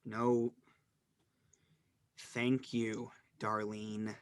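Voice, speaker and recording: A man's voice: fairly low pitch, flat delivery, very slow, smooth. A man playing a withdrawn, subdued teenage brother in a dramatized script reading, speaking hesitantly.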